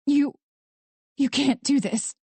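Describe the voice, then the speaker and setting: A woman's voice: sits high, unhurried, dark tone, no echo, pitched above the performer's own voice. Captive wife in a thriller audiobook, voiced by a woman narrator: raised voice, breathless, frightened, desperate. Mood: breathless protest. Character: terrified, pleading young American wife held captive.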